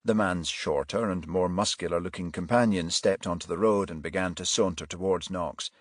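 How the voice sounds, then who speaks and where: A man's voice: sits low, fairly even intonation, normal speed, no room sound, clean studio sound. A man narrating a crime thriller audiobook in a scripted storytelling style.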